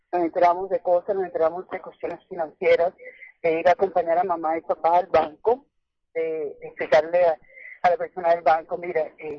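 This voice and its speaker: Woman on a muffled phone line. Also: fairly low pitch. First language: English. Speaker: phone-in caller, English teacher. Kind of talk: earnest conversational advice. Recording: muffled telephone line on radio.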